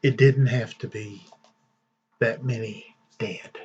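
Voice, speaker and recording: A man hosting his own channel, giving casual news commentary in a slow, deliberate way with fillers and long pauses. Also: mid-range voice, steady tone, darkish tone, breathy edge, lo-fi sound. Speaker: vlog host.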